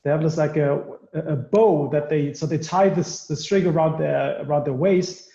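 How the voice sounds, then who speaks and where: A man hosting a podcast, chatting in an animated way and often repeating words. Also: on the high side, normal speed, gravelly. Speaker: podcast host. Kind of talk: conversational interview. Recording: echoey, muffled room sound.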